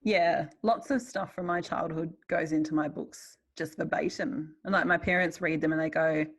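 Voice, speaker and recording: A woman's voice: sits low, steady tone, normal speed. A middle-aged woman with an Australian accent chatting casually and candidly in a wry, self-deprecating way. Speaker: writer in conversation.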